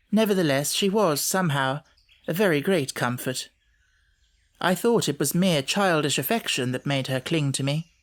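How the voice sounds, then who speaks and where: A man reading literary first-person narration in a measured, formal storytelling manner, as an audiobook narrator. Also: a little high.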